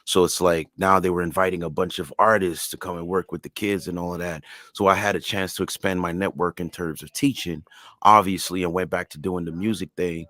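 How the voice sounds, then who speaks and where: A man's voice: sits low, fairly even intonation, fairly quick, bright, forceful tone, no echo, clean studio sound. A man whose first language is French, speaking English as a music artist guest in a casual interview, earnest and emphatic.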